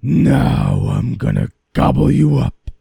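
A man's voice: medium pitch, huge pitch swings, slow, vocal fry, warm tone, slightly rough, no room sound, clean studio sound, voice pushed up, full character voice. A man voicing a great ugly troll in a fairy tale, roaring and threatening like a hungry bully. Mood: roaring, menacing.

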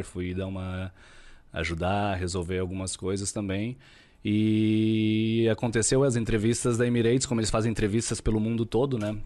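A Brazilian man speaks as a guest in a relaxed interview, his voice low and held to a narrow, even pitch. He takes his time and now and then hunts for the right word, leaning on tag questions as he goes. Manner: unhurried, searches for words, frequent tag questions. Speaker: podcast guest, real estate broker. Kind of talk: casual interview chat.

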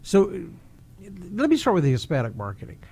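A man's voice: high voice, wildly swooping pitch, normal speed, vocal fry, dark tone, breathy edge. A man hosting a radio interview, chatting loosely with ums and restarts.

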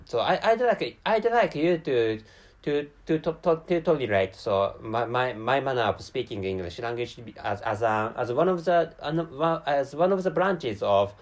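A man from Japan with a Japanese accent, a native Japanese speaker, giving an unscripted podcast monologue in a very halting, rambling way with stammered repeats of words and syllables. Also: mid-range voice. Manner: rambling and digressive. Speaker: podcast host.

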